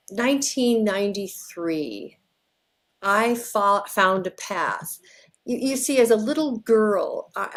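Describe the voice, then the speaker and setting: A woman from Wyoming, telling her life story on a video call in a reflective, heartfelt way. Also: mid-range voice. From Wyoming, USA. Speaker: guest, graphic designer. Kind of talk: personal storytelling.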